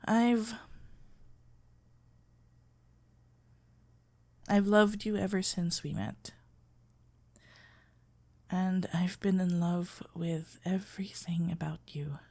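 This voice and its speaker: A woman playing a shy, smitten florist best friend in a romantic ASMR roleplay, speaking hesitantly with stammers and halting phrases. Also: fairly low pitch, darkish tone. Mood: tender, earnest. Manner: hesitant, stammering, halting, with whispered breathy moments.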